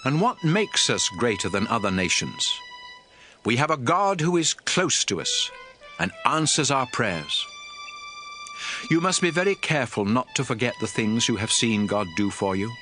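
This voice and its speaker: A man's voice: medium pitch, very animated, a little slow, sharp tone. A man playing a stern, aged prophet exhorting his people in a solemn, emphatic dramatized scripture reading. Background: music.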